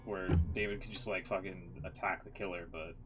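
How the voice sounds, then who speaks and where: A man streaming, with a fairly low voice and music clearly behind him. His commentary is casual and rambling, with easy swearing. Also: level tone, brisk, low-quality recording. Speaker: live streamer.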